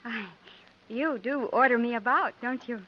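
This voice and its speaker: Genteel young female lead played by an actress, high, hesitant and proud, muffled vintage radio drama. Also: moderate pace, close to an everyday voice. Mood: coy. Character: anxious, genteel young woman, soft-hearted yet proud. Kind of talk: 1950s radio drama acting. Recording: muffled, noisy 1950s radio broadcast.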